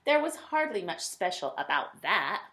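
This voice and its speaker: A woman reading a children's picture book aloud, with dramatic emphasis and drawn-out words. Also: on the low side, sing-song, pushed and bright. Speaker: read-aloud storyteller.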